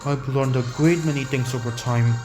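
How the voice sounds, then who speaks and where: An actor voicing a jolly old cookie sage who talks in a calm, measured way with unhurried chuckles, in an animated fantasy fandub. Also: medium pitch, close to an everyday voice. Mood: calm, amused. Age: older. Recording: voice over background music.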